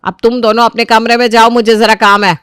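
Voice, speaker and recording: Woman playing a sharp, scolding older mother-in-law. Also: fairly high pitch, little pitch movement, fairly quick, clean tone, no room sound, studio quality, close to an everyday voice. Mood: stern, dismissive. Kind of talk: Hindi family audio drama acting.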